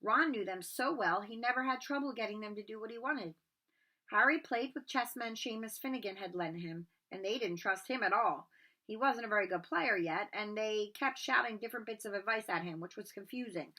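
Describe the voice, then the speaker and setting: A woman narrating a storybook aloud in an expressive read-aloud style. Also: mid-range voice, fairly quick, poor audio.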